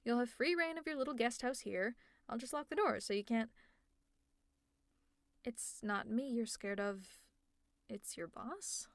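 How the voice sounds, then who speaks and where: Woman acting out a female supervillain, lightly high voice, sarcastic, teasing, exasperated yet caring, conversational, ASMR roleplay, dry close sound. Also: a little slow, pauses often, no room sound. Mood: wry, insistent. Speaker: audio roleplay performer.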